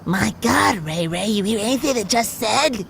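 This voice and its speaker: A man voicing a snappish, easily exasperated suburban housewife in a creaky voice for a comic audio story. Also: high voice, lively intonation, normal speed, fairly bright tone, a little hiss or hum, pitched above the performer's own voice, exaggerated voice.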